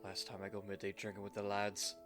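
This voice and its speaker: Man acting a blunt rogue best mate, slangy British-style talk, low voice, music behind, anime fan audio drama. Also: completely flat delivery, fast talker, fairly bright tone, close to an everyday voice. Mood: muttering, hurried. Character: rough, chummy male best friend, a tipsy cockney-styled rogue.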